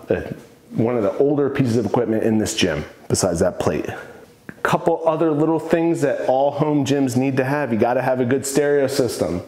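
A man's voice: medium pitch, warm tone. A man in his thirties, a fitness coach, casually showing off the equipment in his home gym and sharing his opinions on it with enthusiasm. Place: home gym in a basement room. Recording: echoey room.

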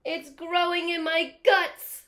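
Woman voicing an excitable, dramatic storybook crocodile, high-pitched. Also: exaggerated voice. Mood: alarmed, panicking. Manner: dramatic, exclaiming, drawn-out words. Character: excitable, panicky young male crocodile who loves watermelon. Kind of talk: children's storybook read-aloud.

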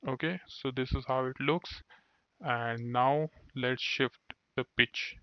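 Flat slow-talking man explaining software. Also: mid-range voice. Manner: step-by-step explaining with restarts. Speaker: software tutorial instructor. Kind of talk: screen-recorded tutorial voice-over. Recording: dull dry lo-fi voice-over.

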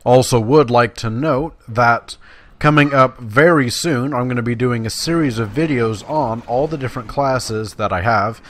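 A man's voice: medium pitch, normal speed, fairly bright tone, slight background noise. A man giving casual, self-deprecating let's-play commentary, talking directly to his viewers. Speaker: gaming YouTuber, host. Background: video game sound.

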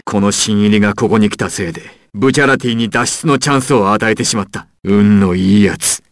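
A man's voice: lowish voice, fairly quick, no room sound, studio quality, close to an everyday voice. A man playing a proud, ruthless crime boss villain in an anime fighting game, with an imperious, menacing and theatrical delivery. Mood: grave, commanding.

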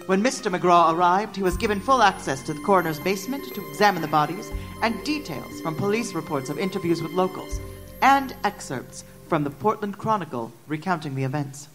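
An actress plays a genteel Victorian lady reporter telling a gothic tale. Her voice sits a little low for a woman and her delivery is formal and ornate. Music plays clearly behind her. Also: animated, a little fast, lightly acted. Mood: measured, grave. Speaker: narrator. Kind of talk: scripted narration.

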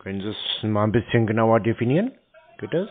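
A man talking casually on the phone, giving curt, stubborn, deadpan replies. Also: lowish voice, quick pace. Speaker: prank call recipient baiting scam callers. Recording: muffled, band-limited phone call audio.

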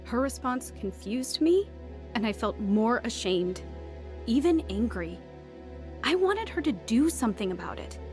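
An actress playing a reflective young woman who narrates an audio drama in an earnest, confessional way. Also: a little high. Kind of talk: scripted first-person drama narration. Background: music.

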